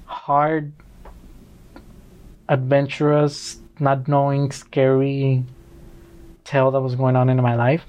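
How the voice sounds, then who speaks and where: A man in his twenties from Mexico, a podcast guest who talks in a relaxed, joking and thoughtful way. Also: mid-range voice, dull audio. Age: 20s. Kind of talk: casual interview conversation.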